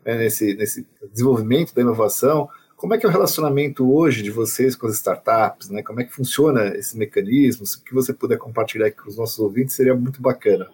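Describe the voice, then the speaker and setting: A Brazilian man co-hosting a podcast interview. His voice is a little husky, he speaks in an upbeat way at a mid pitch, and he keeps adding small tag questions as he talks. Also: quick pace, few pauses, darkish tone. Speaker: co-host, senior director. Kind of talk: conversational interview.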